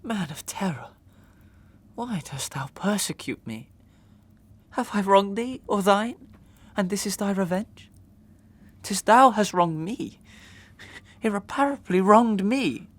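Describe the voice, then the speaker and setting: An actor playing an anguished, wronged young gentleman in a Victorian audio melodrama, speaking in declamatory, archaic, impassioned style with a creaky voice. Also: high voice, expressive, slow pace, pauses often, exaggerated voice. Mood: outraged, desperate. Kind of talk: Victorian stage melodrama acting in an audio drama.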